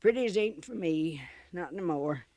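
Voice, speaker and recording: A woman's voice: fairly low pitch, expressive, unhurried, warm tone. An actress playing a crusty, shrewd old American frontier woman with a folksy rustic drawl in a 1950s radio western. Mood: wistful, gruff. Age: older. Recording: vintage 1950s radio recording.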